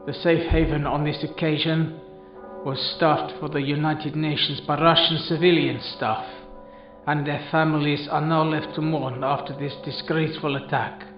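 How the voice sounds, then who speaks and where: A man's voice: fairly high pitch, flat delivery, natural voice. Man acting menacing foreign leader, echoey and dull. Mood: grave, indignant. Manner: formal, deliberate, menacing. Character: menacing, vengeful male foreign leader, coldly formal. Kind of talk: radio drama monologue. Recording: dull, echoey recording under loud music.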